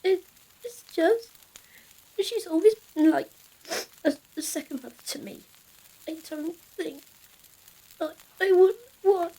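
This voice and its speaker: A woman's voice: extremely high pitch, slow, long pauses, dark tone, exaggerated voice, poor audio. A woman playing a timid, apologetic, well-bred village girl in a period audio drama, hesitant and stammering. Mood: tearful worry.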